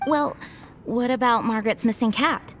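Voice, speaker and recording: Woman playing polite earnest lady knight in a game, highish voice, slight noise behind. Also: moderate pace, natural voice. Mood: modest, grateful. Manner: polite, modest, formal. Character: earnest, dutiful young female knight commander. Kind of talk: video game voice acting.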